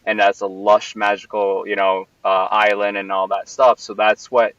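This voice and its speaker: A man's voice: on the low side, flat delivery, moderate pace, fairly bright tone, no room sound. A man from Puerto Rico, a venue owner, answering interview questions in a rambling way with many fillers and restarts. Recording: distant, dull, lo-fi mic.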